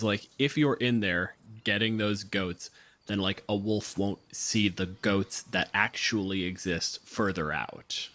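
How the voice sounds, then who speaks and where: A man's voice: on the low side, fairly bright tone, no room sound. A man working as game master, narrating a tabletop game in a casual, improvised way.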